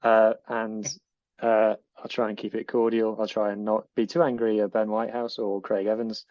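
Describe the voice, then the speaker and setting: A man's voice: on the low side, little pitch movement, normal speed, a little mellow, no room sound, muffled recording. A man with a Northwest English accent, co-presenting a podcast in an eager, rambling way. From northwest England. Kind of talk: casual podcast chat.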